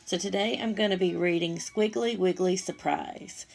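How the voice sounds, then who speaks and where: A woman reading a picture book aloud to young children, with expressive, simple asides as she goes. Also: lowish voice, moderate pace, gravelly. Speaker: storytime reader for young children.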